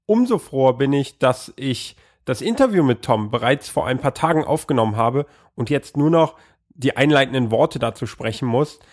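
A man's voice: medium pitch, lively intonation, moderate pace. A man hosting his podcast while he has a cold, welcoming listeners cheerfully and asking questions with a few fillers. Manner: upbeat, welcoming, hesitant with fillers when asking. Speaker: podcast host. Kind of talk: podcast intro and interview.

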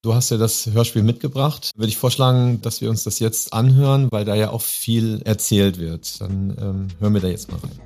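A man hosting a podcast in informal political discussion, phrasing things in a halting, loosely structured way. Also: lowish voice, steady tone, creaky, warm tone. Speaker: podcast host.

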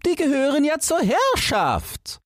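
A man voicing a grumpy, nostalgic old chained dog in a storybook, with a hoarse, barking, boastful voice. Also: extremely high pitch, huge pitch swings, unhurried, no room sound, clean studio sound, pitched above the performer's own voice, exaggerated voice. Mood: condescending. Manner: boastful, reminiscing, barking refrain. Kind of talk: storybook character voice.

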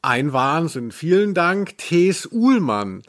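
Male podcast co-host, slightly high-pitched voice, casual conversational delivery, playful teasing tone. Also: big pitch swings, takes it slowly, a bit bright, a little hiss or hum.

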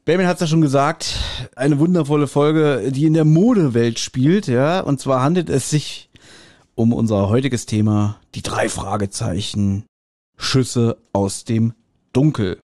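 A man's voice: mid-range voice, a little slow, darkish tone, no room sound, studio quality. A middle-aged man co-hosting a podcast, reviewing audio drama in a casual, colloquial and jokey way. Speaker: podcast host.

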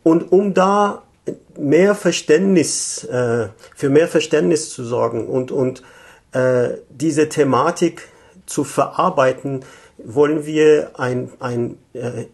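Gravelly-voiced Iranian-born man in his fifties. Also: fairly high pitch, slow. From Iran. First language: Persian. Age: 50s. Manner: thoughtful, hesitant with frequent fillers and restarts. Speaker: interview guest, economic consultant. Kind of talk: interview answers.

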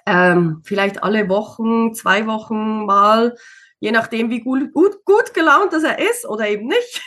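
Woman, 30s, interview guest; relaxed chatter, light laughs, slightly raised pitch. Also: measured pace. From Switzerland. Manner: chatty, many fillers, laughs easily. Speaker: interview guest, single mother. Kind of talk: casual conversational interview.

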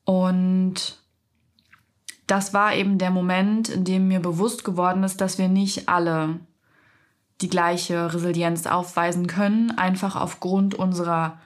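A young woman hosting a reflective solo podcast, speaking thoughtfully with frequent pauses and soft fillers; she has a cold, so her voice is slightly stuffy and nasal. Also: fairly low pitch, little pitch movement. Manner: slow, thoughtful, pausing often with soft fillers. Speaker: podcast host.

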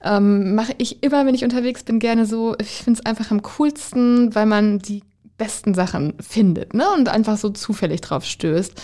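Female travel blogger, slightly high voice, upbeat casual talk. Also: dark tone. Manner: laughs often, upbeat. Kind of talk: casual podcast chat.

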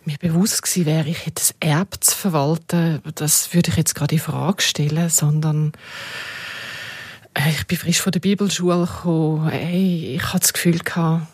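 A woman's voice: deep voice, steady tone, moderate pace, a little mellow, slightly rough, no echo. A middle-aged or older woman with a Swiss German accent, reminiscing conversationally and emphatically as an interview guest. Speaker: podcast guest, former radio editorial head.

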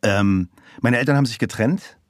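A man in his fifties from Germany chats as a studio guest. He tells his story at an easy pace, with plenty of ums and uhs. The sound is dry and close, and his pitch sits in the middle. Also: moderate pace, no echo. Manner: open, relaxed storytelling with frequent fillers. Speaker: podcast guest, voice actor. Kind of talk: casual interview chat.

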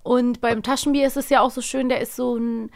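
A woman's voice: on the high side, flat delivery, fairly quick, vocal fry. A woman hosting a podcast about radio plays, chatting enthusiastically with her co-host. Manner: enthusiastic, chatty, laughs often. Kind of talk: casual chat.

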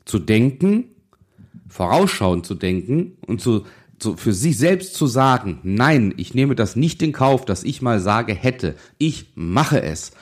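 A man's voice: medium pitch, sing-song, takes it slowly. A man in his forties giving a motivational monologue as a financial advisor, addressing the listener directly in an insistent, exhorting manner with rhetorical questions. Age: 40s. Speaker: financial advisor and podcast host.

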